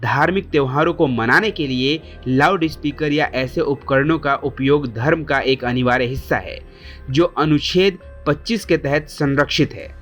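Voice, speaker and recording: Higher-pitched man reading a news explainer. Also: moderate pace, fairly bright tone, soft background music. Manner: formal, addresses viewers with rhetorical questions. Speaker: news presenter. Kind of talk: scripted news explainer narration.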